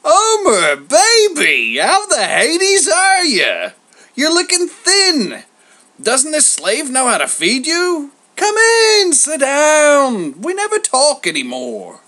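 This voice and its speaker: A huge bearded god with the patter of a hipster hustler. The voice shoots high and swings widely, with a bright, forceful edge. He schmoozes, brags and wheedles in slang, like a salesman in a comic myth. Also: wildly swooping pitch, takes it slowly, exaggerated voice. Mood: gushing, loud. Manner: slangy, schmoozing, boastful, theatrically wounded. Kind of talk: comic story character voice.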